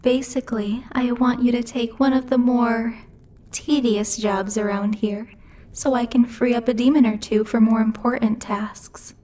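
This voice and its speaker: A woman's voice: fairly high pitch, fairly even intonation, measured pace, warm tone, slight background noise, natural voice. A woman playing a bossy, haughty demon lord who teases and mocks imperiously in a theatrical ASMR roleplay. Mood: businesslike, smug. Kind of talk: ASMR roleplay, scripted character acting.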